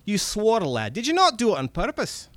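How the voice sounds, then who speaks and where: A man voicing an old male goblin, a red cap, with a gruff, folksy manner. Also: high voice, huge pitch swings, a bit bright, no room sound, voice pushed up, heavily acted. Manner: gruff, folksy, drawn-out swearing.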